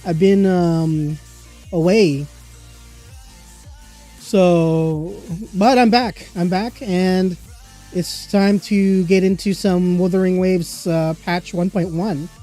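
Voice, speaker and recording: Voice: mid-range voice, steady tone, takes it slowly, warm tone, faint music behind. A streamer greeting their viewers with upbeat, chatty small talk and frequent giggles, sounding stuffy from a cold. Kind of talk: casual livestream chat. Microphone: desktop streaming microphone.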